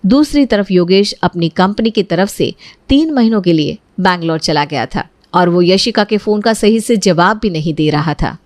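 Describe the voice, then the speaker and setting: A woman's voice: fairly low pitch, expressive, moderate pace, darkish tone, no room sound. A woman narrating a family drama story in a scripted, dramatic storytelling style.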